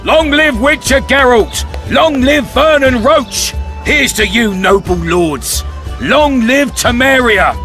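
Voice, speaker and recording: High, bright pompous nobleman. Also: animated, slow, soft background music, exaggerated voice. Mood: festive, proclaiming. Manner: pompous, declaiming. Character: pompous, booming male town nobleman addressing a crowd. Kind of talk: video game voice acting.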